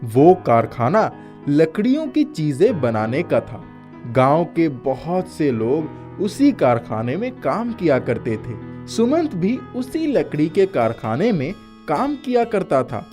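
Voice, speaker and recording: A man's voice: fairly high pitch, sing-song, slow pace, a little mellow, faint music behind. A man narrating a scripted moral story as a storyteller.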